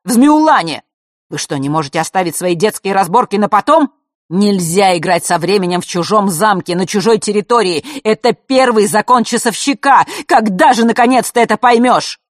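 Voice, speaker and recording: A reader voicing an angry middle-aged father who scolds his daughter loudly and sharply. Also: medium pitch, normal speed, no room sound, studio quality, natural voice. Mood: scolding. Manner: loud scolding, raised and sharp. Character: stern furious father, strict and cold.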